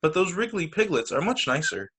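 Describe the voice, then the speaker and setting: A man's voice: high-pitched, very animated, brisk, a bit bright, slight background noise, pitched above the performer's own voice. A man voicing a proud but easily saddened mother hen in a children's storybook read aloud. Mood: wistful, glum. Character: proud mother hen, growing glum and wistful.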